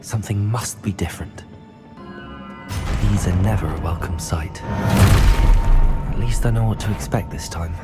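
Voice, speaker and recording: An actor playing a polite, determined young student wizard in a video game, speaking with composure. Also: male voice, fairly low pitch, measured pace, pauses often, close to an everyday voice. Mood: musing, curious. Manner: polite, composed, musing to himself. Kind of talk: scripted video game voice acting. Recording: loud music behind the voice. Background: game music.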